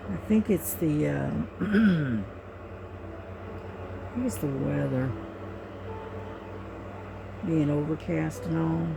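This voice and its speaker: A middle-aged woman with a low voice, talking quietly and sleepily in a rambling way while diamond painting at home, recorded on a cell phone. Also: slow, creaky, noisy background. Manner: quiet, soft-spoken and rambling. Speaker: crafting vlogger.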